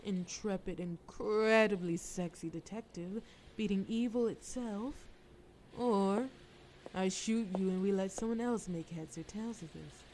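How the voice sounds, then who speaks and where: A woman's voice: mid-range voice, warm tone, slight background noise, close to an everyday voice. A woman playing a cunning, flirtatious mafia boss in a scripted audio roleplay, sultry, persuasive and teasing, with cool threat.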